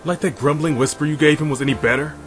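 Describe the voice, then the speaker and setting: A man's voice: fairly high pitch, fast talker, soft background music, lightly acted. A man playing a loyal, encouraging soldier in a fantasy audio drama, speaking earnestly and reassuringly. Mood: teasing, flustered. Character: loyal, encouraging male soldier comrade of a werewolf army.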